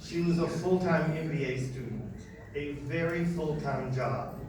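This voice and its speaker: A man hosting a graduation, reading a speaker introduction with halting restarts. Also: fairly high pitch, background noise. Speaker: ceremony host introducing speakers. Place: large hall. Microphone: PA heard from back of room. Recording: echoey hall from far off.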